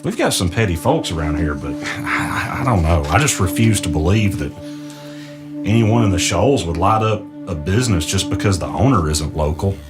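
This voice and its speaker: Actor as low-voiced, cautious, easygoing man. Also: expressive, normal speed, over loud music. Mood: skeptical, hesitant. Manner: hesitant, questioning, plainspoken. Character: cautious, skeptical, easygoing small-town man. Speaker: character in a mystery audio drama. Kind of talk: naturalistic conversational audio drama dialogue.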